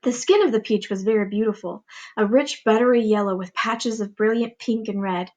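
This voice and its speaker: A woman reading a children's storybook aloud as a teacher would, with expressive, deliberate narration. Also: mid-range voice, muffled recording.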